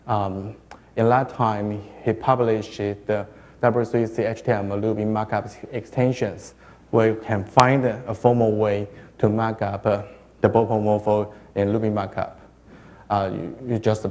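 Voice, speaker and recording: A man giving a technical conference presentation on web standards. He speaks in a hesitant, halting way, restarts often and uses non-native phrasing. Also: lowish voice, warm tone.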